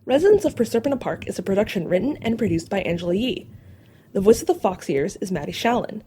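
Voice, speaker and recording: A woman's voice: mid-range voice, lively intonation, quick pace, warm tone, a little hiss or hum. A woman reading scripted podcast credits as an announcer.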